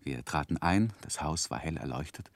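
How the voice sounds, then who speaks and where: An actor playing an earnest, romantic gentleman narrating his own youth in measured, literary for a 1960s radio drama. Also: extremely low pitch, lively intonation, no room sound. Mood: reflective, composed. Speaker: narrator and main character. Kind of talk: dramatic first-person narration, 1960s German radio play.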